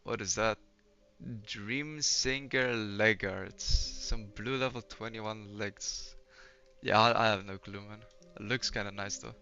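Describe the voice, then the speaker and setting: A man's voice: on the low side, fairly even intonation, takes it slowly, bright, forceful tone, clear, resonant tone. A young man from Poland streaming a game, chatting casually with viewers, laid-back and swearing often. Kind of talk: casual live-stream chat while gaming. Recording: slightly dull lo-fi stream audio.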